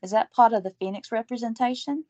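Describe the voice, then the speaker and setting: A woman's voice: a little high, fast talker, warm tone, no echo, lightly acted. An actress playing an earnest young woman reporter who is also an army medic, asking questions. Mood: curious. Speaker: interviewer. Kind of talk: audio drama acting. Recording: dull lo-fi recording.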